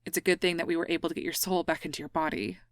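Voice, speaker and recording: A woman's voice: lowish voice, steady tone, rapid-fire, dry recording, lightly acted. A woman playing the stern, exacting boss of a ghost-hunting team in an audio roleplay, dry and authoritative. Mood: stern, composed. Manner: authoritative, dry, clipped orders.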